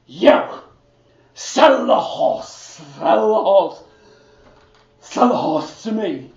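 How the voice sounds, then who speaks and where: A man's voice: a little high, lively intonation, takes it slowly, echoey room, dull audio. A man doing a pompous, greedy fairy-tale king. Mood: demanding.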